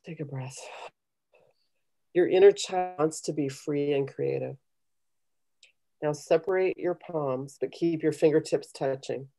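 A middle-aged woman with a low, mellow voice talks on a video call. She coaches conversationally and gives encouraging, step-by-step meditation instructions. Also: a little slow, long pauses. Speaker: guest leading a guided meditation. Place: small home room, indoors. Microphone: computer video call.

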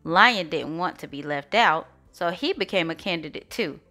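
A woman reading a children's storybook aloud as storyteller, in an expressive, deliberate way. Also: fairly low pitch. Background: faint music.

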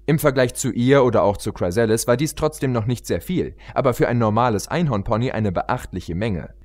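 A man's voice: medium pitch, expressive, brisk, darkish tone. A man reading a story aloud as an audiobook narrator in a scripted narration style.

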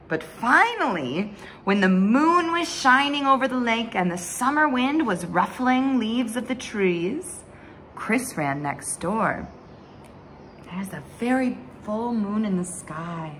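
A young woman reads a children's storybook at an unhurried pace. Her high voice swings widely and dramatically, with drawn-out words and hushed asides. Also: a little hiss or hum. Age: young adult. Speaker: story time host reading a picture book aloud. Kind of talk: expressive children's storybook read-aloud. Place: small bright room indoors at home.

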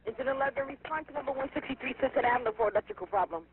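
A man's voice: extremely high pitch, rapid-fire. A man working as a fire dispatcher over two-way radio, giving clipped, procedural announcements and repeating messages back. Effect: two-way radio transmission. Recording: dull, lo-fi radio scanner audio, faint noise.

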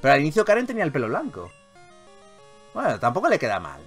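A man with a Castilian Spanish accent giving casual, animated gameplay commentary as a video host, swearing freely. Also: fairly high pitch, fast talker, faint music behind. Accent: Spanish from Spain.

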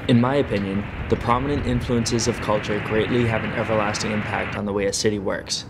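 A man's voice: lowish voice, steady tone, fairly quick, very noisy. A young man reading an earnest, formal scripted narration for a student documentary. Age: young adult. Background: music.

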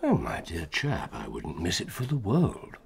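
Urbane English detective, lively and low. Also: male voice, a little slow, vocal fry, full character voice. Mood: wry. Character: shrewd, confident middle-aged gentleman detective, secretive and urbane. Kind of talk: BBC radio drama acting.

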